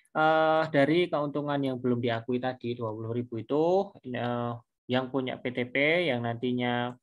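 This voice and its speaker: A man giving an informal step-by-step lesson as a lecturer, hesitant and often searching for words. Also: mid-range voice. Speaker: accounting lecturer.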